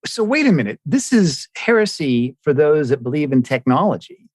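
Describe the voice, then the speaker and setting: A man's voice: fairly high pitch, very animated, normal speed, breathy edge, no echo. A man hosting an interview podcast, speaking in an enthusiastic, effusive manner as he introduces and questions. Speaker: podcast host.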